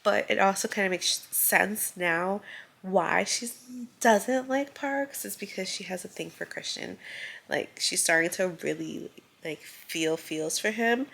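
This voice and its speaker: Congested young woman with vocal fry. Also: on the low side, a bit bright, slight background noise. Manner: chatty, heavy use of filler "like". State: congested, stuffy nose. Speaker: book vlogger. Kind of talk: casual rambling vlog chat.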